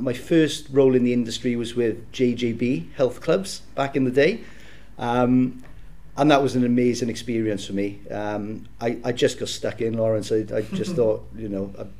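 A man in his thirties from South Wales with a Welsh accent, a podcast guest reflecting on his career in a warm, easygoing way with easy laughs. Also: medium pitch, steady tone, moderate pace. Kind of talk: casual interview chat.

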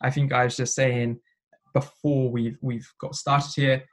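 A man hosting a podcast talks in an easy, relaxed way. He often restarts his sentences and drops in a quick "uh" while he works out a question. His pitch sits in the usual middle range for a man. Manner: relaxed, with many restarts and "uh" fillers. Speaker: podcast host. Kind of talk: casual podcast interviewing.

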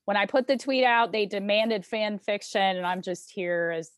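A woman's voice: mid-range voice, moderate pace, dry recording, clean studio sound. A woman hosting a podcast interview, putting questions to her guest and wrapping up.

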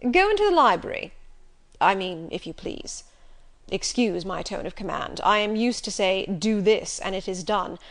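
A brusque gentleman of the house, voiced by a woman narrator, barks orders and fires probing questions. His tone is sardonic and commanding, pushed louder than the narration. He is an imperious audiobook figure. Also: medium pitch, animated, normal speed, a little hiss or hum, lightly acted.

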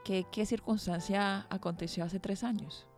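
A woman's voice: medium pitch, steady tone, moderate pace, a little mellow, soft background music. A woman hosting a radio interview program, speaking as presenter and interviewer. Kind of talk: interview with scripted introduction.

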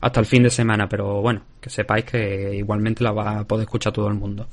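A man's voice: lowish voice, level tone, quick pace. A man with a Spanish accent hosting a podcast, talking casually and rambling with many restarts. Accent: Spanish from Spain. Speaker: podcast host. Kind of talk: casual chat. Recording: remote call.

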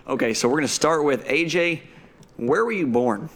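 A man's voice: on the high side, animated, normal speed. A man hosting a podcast, interviewing a guest in casual chat, teasing him and cutting in with questions. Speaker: podcast host.

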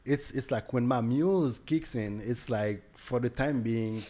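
A man's voice: mid-range voice, a little slow, mellow. A young man with a Haitian accent, talking casually as a podcast guest, with many fillers and restarts. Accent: Haitian Creole. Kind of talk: casual conversation.

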